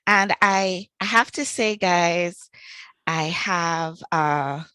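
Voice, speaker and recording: A woman's voice: fairly low pitch, very slow, pushed and bright, clear, resonant tone, dry recording. A woman with a Trinidadian accent hosting an online networking call in an effusive, enthusiastic way. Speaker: host. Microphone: video call.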